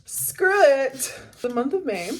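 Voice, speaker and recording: A woman's voice: sits high, big pitch swings, slow, low-quality recording. A twenty-year-old woman from the Caribbean talking casually and candidly in a personal vlog, rambling and stressing her points. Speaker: vlogger.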